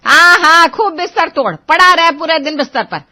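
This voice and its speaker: A woman voicing a nagging, sharp-tongued mother. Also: on the high side, expressive, normal speed. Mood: sarcastic. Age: middle-aged. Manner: scolding, sarcastic.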